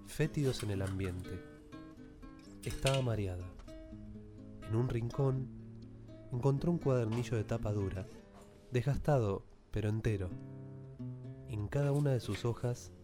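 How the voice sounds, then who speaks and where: A man narrating a radio drama as a scripted literary story. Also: mid-range voice. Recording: plucked guitar music behind the voice.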